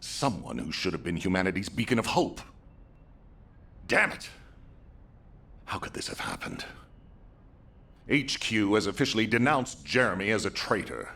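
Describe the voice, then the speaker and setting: A man playing a grave, weary but resolute military commander in a video game, with a husky, gravelly voice and a stern, formal delivery. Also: fairly low pitch, very animated, normal speed, pauses often, bright, forceful tone, heavily acted. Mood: angry, anguished. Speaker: commander giving mission briefings. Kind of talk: dramatic video game briefing.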